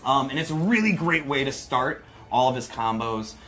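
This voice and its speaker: A man's voice: medium pitch, normal speed. A man co-hosting a livestream, casually and playfully talking through a fighting game demonstration. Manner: animated, playful, exclaiming. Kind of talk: casual gameplay demonstration commentary.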